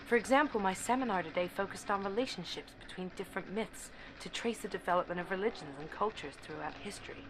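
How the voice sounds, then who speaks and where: An actress plays a young woman at university in an audio drama, naive and easily smitten. Her medium-pitched voice is earnest and confiding, like a diary being spoken aloud. There is clear ambient noise behind the recording. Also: quick pace, few pauses, natural voice. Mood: keen, earnest. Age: young adult. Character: eager, naive, infatuated young female university student. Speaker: actor.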